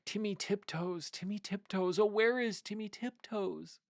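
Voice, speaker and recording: A man's voice: high voice, a little slow, vocal fry, no echo, studio quality, pitched above the performer's own voice. Man voicing an anxious squirrel wife. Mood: anxious calling. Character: worried, polite female squirrel wife.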